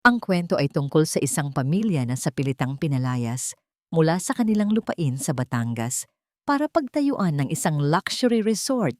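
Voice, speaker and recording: A woman with a low-pitched voice narrates a dramatic audio story. Her delivery is solemn and full of suspense. The sound is very dry, with hardly any room on it. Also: very animated, moderate pace, no room sound.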